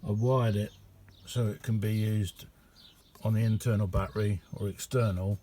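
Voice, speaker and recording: Middle-aged man, soft dark tone, casual halting hobbyist demo, faint background noise. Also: lowish voice, fairly even intonation. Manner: unhurried, halting, colloquial. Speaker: radio hobbyist showing a homemade receiver. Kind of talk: casual hands-on demonstration vlog. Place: indoor hobby radio room.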